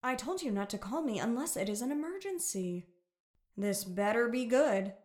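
A woman acting as a caring, fussing mother in a family audio drama. Her voice is very high, with lively swings in pitch. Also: moderate pace. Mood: brisk, businesslike. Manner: sweet and fussing with children. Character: caring, overprotective mother working for police.

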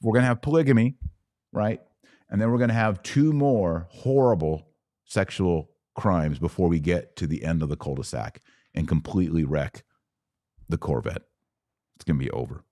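Male podcast host, low voice, dry close sound, emphatic and preachy religious commentary. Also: moderate pace, mellow, dry recording. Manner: emphatic, preachy, with rhetorical questions. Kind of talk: live religious commentary rant, reading audience comments.

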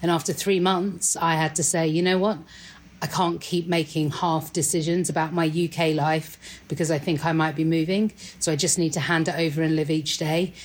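A British-accented woman speaks as an interview guest. Her voice sits low for a woman and has a husky edge. She talks in a conversational way. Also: flat delivery, moderate pace. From Great Britain.

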